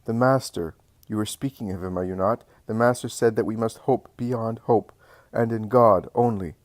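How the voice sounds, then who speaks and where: A man's voice: lowish voice, normal speed, dry recording, close to an everyday voice. A man voicing an anguished, frightened woman who protests and pleads in an agitated way, in a dramatic story reading. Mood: hesitant, subdued.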